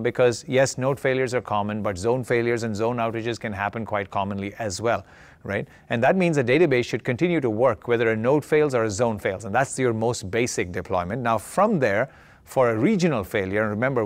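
A man's voice: mid-range voice, no room sound. A man in his forties presenting a keynote in a conversational, explanatory way, often checking in with a short tag question. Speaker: keynote speaker, tech executive.

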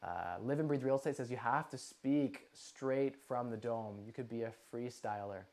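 A man in his twenties hosting a live investing question-and-answer stream from a living room, energetic and gesturing, with lots of "like". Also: medium pitch, normal speed. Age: 20s. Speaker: livestream host. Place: living room with a couch, indoors.

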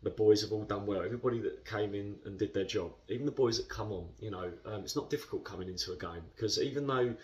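Low-voiced captain, chatty and measured. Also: male voice, darkish tone, far from the mic. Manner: chatty, measured, lots of fillers. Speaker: football club captain. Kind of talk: post-match interview. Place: indoor club room.

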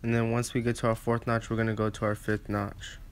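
A man's voice: on the low side, completely flat delivery, fairly quick, a little hiss or hum. A man narrating a casual step-by-step barber tutorial, with hesitant, repetitive phrasing.

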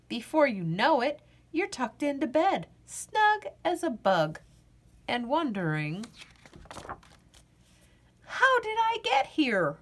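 A woman reading a children's picture book aloud, playful and expressive, acting out the yawns as she goes. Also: high voice.

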